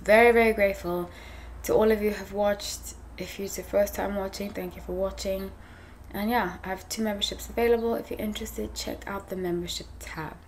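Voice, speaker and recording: A woman's voice: mid-range voice, steady tone, brisk. Woman in her 30s rambling to her cam, tired n hot, lots of ums, little bit of hiss. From New York, raised in South Africa and England. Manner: chatty, rambling, many fillers and restarts. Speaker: tarot channel host. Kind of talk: casual talk to camera. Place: small room indoors.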